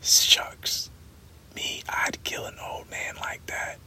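A reader voicing a skinny, sharp-tongued young woman, whispered throughout. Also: takes it slowly. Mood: scoffing. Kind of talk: whispered audiobook reading.

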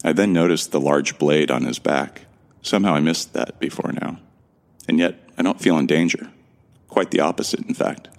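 Man acting very deep, gravelly, bewildered amnesiac. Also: moderate pace. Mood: introspective, tense. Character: bewildered, introspective amnesiac man from Earth, recounting events. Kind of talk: first-person audio drama narration.

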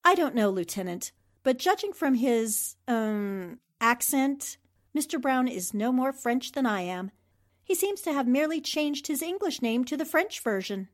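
Old radio mystery: actress as astute lady reporter, poised and probing, highish voice, lively intonation. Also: a little slow. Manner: polite, articulate, probing. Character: sharp, poised young woman newspaper reporter. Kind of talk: 1940s radio drama acting.

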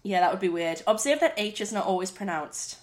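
A woman's voice: medium pitch, animated, brisk, slight background noise. A woman chatting casually with a friend, reading tips aloud with playful puzzlement. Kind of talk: casual chat between friends.

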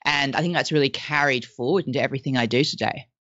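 A woman's voice: rumbling low, fast talker, fairly bright tone, dry recording, highs cut off. A woman from Australia, a podcast guest speaking candidly and reflectively about herself, with a self-deprecating manner. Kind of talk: conversational interview.

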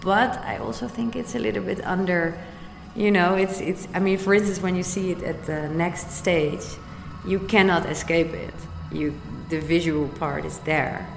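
Creaky, low-voiced woman in echoey hall. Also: steady tone, normal speed. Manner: hesitant, repeating words. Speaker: artist interviewee. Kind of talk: casual interview conversation. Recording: echoey hall, music over voice.

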